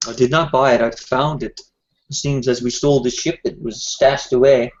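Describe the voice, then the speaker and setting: A man playing a tabletop game, speaking in a slow, formal way in character. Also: mid-range voice, steady tone, muffled recording. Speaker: player. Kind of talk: tabletop roleplay, partly in character.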